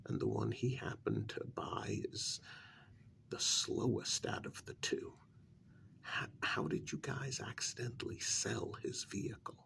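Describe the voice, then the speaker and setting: Gravelly, whispering man in slow ASMR role-play. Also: fairly low pitch. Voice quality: soft, breathy half-whisper with gravelly creak.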